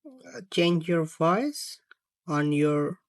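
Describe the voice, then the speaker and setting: A tutorial presenter of unclear sex narrating a phone how-to step by step in halting phrases. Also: medium pitch, expressive, dry recording, clean studio sound. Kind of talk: screen-recorded how-to narration.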